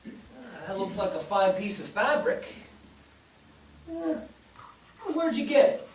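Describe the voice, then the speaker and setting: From a distant, echoey stage, a man plays a crooked customs officer. He is bossy and calculating, pausing on ums and then making demands, with a high voice that swings widely in pitch. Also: expressive, a little hiss or hum. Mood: sly, appraising. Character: pushy, crooked customs officer bullying a boy. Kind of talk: staged play reading. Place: theatre stage. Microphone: distant microphone.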